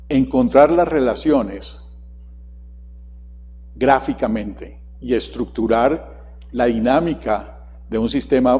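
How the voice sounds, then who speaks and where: A man's voice: mid-range voice, warm tone. A man giving a keynote talk at a conference, asking the audience questions. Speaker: conference presenter. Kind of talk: keynote presentation. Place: large conference hall. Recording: long hall reverb.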